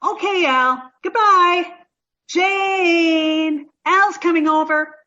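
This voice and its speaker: An actress playing a sweet, naive, dim-witted young woman in an old-time radio sitcom style, earnest and slow on the uptake. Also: extremely high pitch, bright, forceful tone, muffled recording, full character voice. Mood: bright, oblivious.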